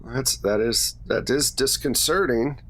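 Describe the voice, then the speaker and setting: A man's voice: mid-range voice, a little slow, a bit bright. A man acting as game master, narrating a tabletop roleplaying game.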